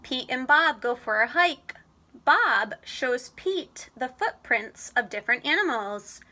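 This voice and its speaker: Woman, children's read-aloud, expressive storyteller, very varied intonation, slow deliberate pacing. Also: sits high. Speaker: storybook reader.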